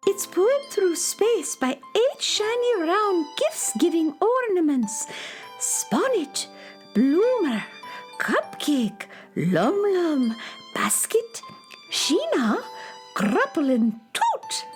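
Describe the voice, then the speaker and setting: A woman playing a kindly, chirpy female forest pixie in a children's radio drama, explaining things in a gentle, storybook lilt. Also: very high voice, very animated, drawn-out words, soft background music, heavily acted. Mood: wondering.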